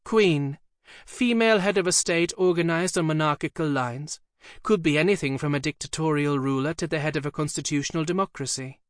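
Storybook demon, female, piping and petulant, pedantic; voiced by a male narrator, pitched way up. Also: a little fast, dry recording, studio quality, lightly acted. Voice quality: clear piping voice. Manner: petulant, coldly literal. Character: petulant female demon speaking through a dog.

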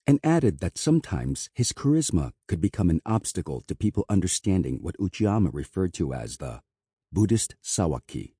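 A man reading an audiobook as narrator, in measured, even scripted narration, close to a studio mic. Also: deep voice, breathy edge, no echo.

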